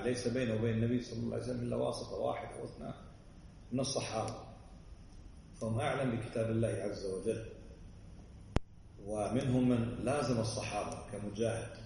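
Fast-talking man teaching in an echoey room. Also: lowish voice, gravelly, a little mellow, slight background noise. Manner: checks understanding with quick asides. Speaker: religious teacher. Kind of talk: explanatory religious lesson. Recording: roomy, distant mic, faint ambience.

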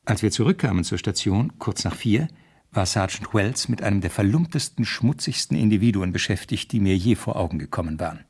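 A man's voice: lowish voice, lively intonation, fairly quick, slightly rough. A man acting a dutiful, polite police subordinate who also narrates, orderly and deferential, in a radio crime drama. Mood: measured, dry. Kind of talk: 1970s radio drama dialogue and scripted narration.

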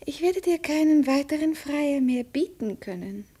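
Young princess, high voice, haughty and threatening, cold schemer, 1950s radio drama. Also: female voice, animated, normal speed, dark tone. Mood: cold command. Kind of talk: 1950s radio play acting.

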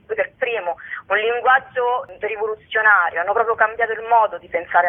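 A woman being interviewed as a project's creator, explaining earnestly in long, wordy sentences. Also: mid-range voice. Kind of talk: spoken radio interview. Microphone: telephone. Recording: narrow, tinny phone line.

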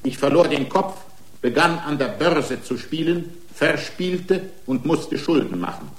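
An actor playing an aggrieved, excitable racing driver in a radio drama, pleading his case in indignant, long-winded, formal rhetoric. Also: a little high, a little slow. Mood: self-pitying. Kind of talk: radio drama acting, courtroom speech.

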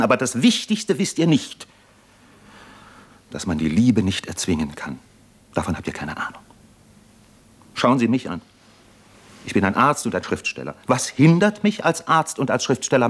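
An actor playing a weary, disillusioned captive doctor in a German radio play, articulate and bitterly ironic. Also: male voice, mid-range voice. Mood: bitter, defiant. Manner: articulate, bitterly ironic, impassioned in long speeches.

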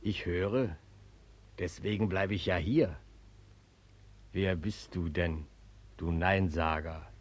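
An actor playing a mysterious, persistent male inner voice, incantatory, deliberate and probing, in 1940s radio drama style. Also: low-pitched. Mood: probing, calm.

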